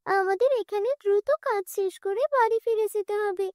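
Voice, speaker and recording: A woman's voice: extremely high pitch, fairly even intonation, moderate pace, darkish tone, no echo, studio quality. A woman voicing a graceful fairy in a children's cartoon, questioning with kindly authority. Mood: serene. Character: graceful female fairy, commanding yet kindly.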